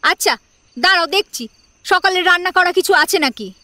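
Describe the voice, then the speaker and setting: A woman's voice: extremely high pitch, expressive, normal speed, pushed and bright, dry recording, voice pushed up, heavily acted. A woman playing a clueless, defensive young newlywed wife in an audio drama. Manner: defensive, unsure.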